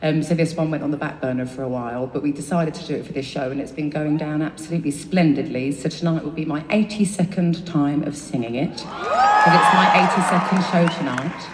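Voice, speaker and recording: A woman's voice: low-pitched, big pitch swings, fairly quick, background noise. Swearing London woman in echoey arena. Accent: London English. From England. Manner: swears freely, rambling, laughing asides. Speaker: singer on stage. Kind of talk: chatty stage banter between songs. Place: large concert venue. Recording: live concert audio, echoey arena from far off with crowd.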